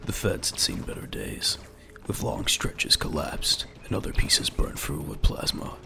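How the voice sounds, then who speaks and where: A man narrating a scripted dramatic story in a measured, descriptive way. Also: sharp tone, slight background noise.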